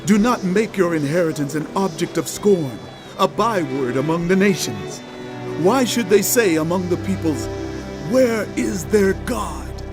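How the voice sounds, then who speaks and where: An actor playing a priest crying out to God, beseeching and plaintive, in a dramatized scripture reading. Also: male voice, sits high, lively intonation, measured pace, music in the background. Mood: anguished pleading.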